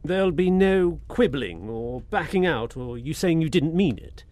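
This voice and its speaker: An actor playing a bold, sardonic young gentleman in a radio suspense drama, wry and challenging in manner. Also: a little high, expressive, a little slow. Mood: defiant.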